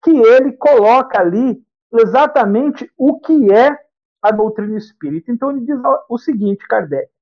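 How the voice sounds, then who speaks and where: A man's voice: extremely high pitch, expressive, normal speed. A man from the of São state, giving a spiritist lecture and explaining points by repeating and rephrasing them. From interior of São Paulo state, Brazil. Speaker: guest lecturer. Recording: dull lo-fi audio.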